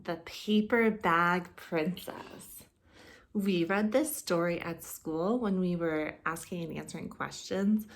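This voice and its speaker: Kindergarten teacher lady reading a picture book, unhurried, expressive, explains things simply. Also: fairly low pitch, gravelly. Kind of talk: read-aloud lesson for young children. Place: living room, indoors.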